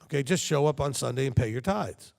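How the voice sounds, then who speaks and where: Gravelly male preacher, conversational, asking "okay?". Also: mid-range voice, animated, fairly quick, a little mellow, in a large hall. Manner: conversational, rhetorical questions, frequent "okay?" check-ins. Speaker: congregation leader. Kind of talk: sermon.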